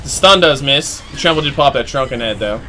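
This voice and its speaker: A man giving live play-by-play commentary on an online team game, excitable and chatty, his voice jumping up during fights. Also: medium pitch, fairly quick, a little hiss or hum. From United States. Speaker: esports play-by-play caster.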